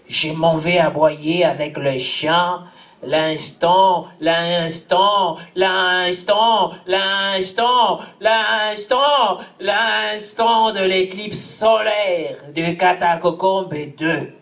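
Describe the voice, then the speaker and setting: A man from Congo whose first language is Swahili, performing his own poems live in a theatrical way, shouting and laughing between verses. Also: high-pitched, steady tone, extremely slow. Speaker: poet reading own work.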